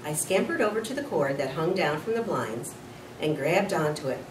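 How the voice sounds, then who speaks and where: A woman reads a children's story aloud with a lively, varied pitch. She is expressive and a little informal. The recording is roomy, slightly off the mic. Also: moderate pace. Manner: expressive, with small slips and corrections. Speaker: school nurse reading to children. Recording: roomy, distant mic with faint noise.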